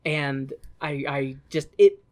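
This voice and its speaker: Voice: medium pitch, slow pace, a little mellow. Irreverent podcast co-host recapping a film. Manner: irreverent, jokey. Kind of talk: comedic film recap, casual retelling.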